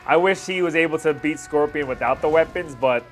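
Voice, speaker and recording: A man's voice: a little high, fairly quick, a bit bright. Casual slangy man reacting over music. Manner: informal slangy fan talk. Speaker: reaction video host. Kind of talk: casual reaction commentary on fight scenes.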